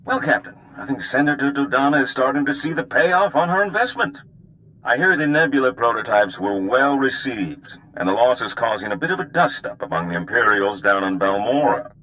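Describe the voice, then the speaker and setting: Bright-voiced, businesslike military aide. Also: male voice, mid-range voice, animated, fairly quick, poor audio. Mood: brisk, upbeat. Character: brisk, chipper male aide briefing a captain. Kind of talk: video game acting.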